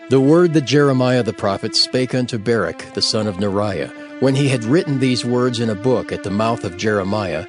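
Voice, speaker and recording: A man narrating scripture in a formal, measured and solemn audio Bible style. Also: medium pitch. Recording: prominent music under the narration.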